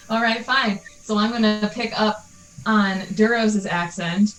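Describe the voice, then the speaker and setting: A woman's voice: mid-range voice, slow pace. A woman playing a tabletop game, hesitant and giggly. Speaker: player. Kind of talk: casual tabletop play. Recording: echoey room, faint noise.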